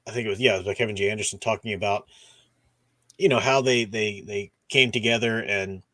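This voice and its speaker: A man's voice: mid-range voice, a bit bright, dry recording. A man hosting a casual discussion stream, rambling, restarting sentences and groping for names as he talks. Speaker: podcast host.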